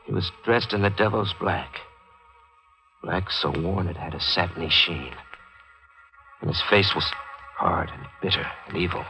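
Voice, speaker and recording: An actor playing a guilt-haunted writer who narrates a thriller in melodramatic, brooding old-time radio style. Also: male voice, deep voice, unhurried, creaky, pauses often, bright, forceful tone, exaggerated voice, low-quality recording. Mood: grim, foreboding. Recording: vintage radio drama with music bed.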